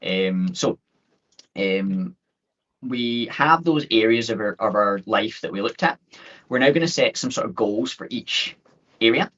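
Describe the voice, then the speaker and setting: A man in his thirties with a thick Scottish accent, giving an upbeat, quick webinar talk full of fillers and restarts. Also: on the low side, lively intonation, fairly bright tone. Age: 30s. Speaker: guest speaker. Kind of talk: webinar presentation. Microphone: video call. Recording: dull lo-fi call audio.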